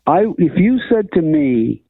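A man near seventy, a guitarist guest in a casual interview, relaxed and self-deprecating, often laughing. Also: a little high, a little slow, no echo. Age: older. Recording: tinny phone line.

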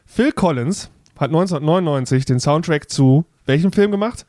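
A man's voice: a little high, lively intonation, fairly quick, darkish tone, no room sound. Chatty male podcast host, dry close sound. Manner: chatty, many fillers and restarts. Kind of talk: casual chat.

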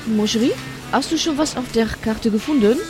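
A woman's voice: on the high side, moderate pace, music in the background, lightly acted. A woman playing a vacationing wife who teases her husband with an affected manner. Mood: teasing. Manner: teasing, affected. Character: vacationing wife putting on French airs.

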